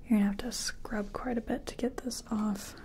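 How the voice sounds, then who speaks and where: A woman doing a soft-spoken ASMR roleplay as a makeup artist, whispery and breathy, murmuring hesitantly as if half to herself. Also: a little high, fairly even intonation, normal speed.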